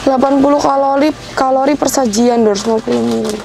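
A woman's voice: high voice, fairly even intonation, fast talker, vocal fry, poor audio. A young woman vlogging casually as she browses a big supermarket, thinking aloud about what to buy. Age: young adult. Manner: chatty, thinking aloud while browsing shelves. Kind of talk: casual shopping vlog chat. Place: large supermarket indoors.

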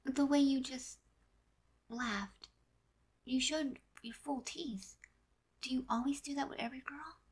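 A woman playing a flustered, defensive teenage girl with a teasing streak in a fan audio drama, protesting in emphatic, halting bursts. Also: sits high, slight background noise. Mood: soft, probing.

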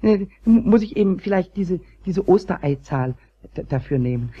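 An actor playing a mischievous childlike kobold in a children's radio play, raspy and croaky, stammering and repeating words when excited. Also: male voice, high voice, moderate pace. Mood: busy, pleased. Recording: dull old cassette recording.

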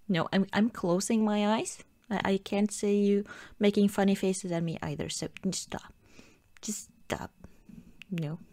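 A woman acts out a pouting, playfully whiny girlfriend in an intimate ASMR roleplay. She talks very slowly and haltingly, pleading in a giggly mock sulk. The sound is close and very dry. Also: medium pitch, darkish tone, no room sound.

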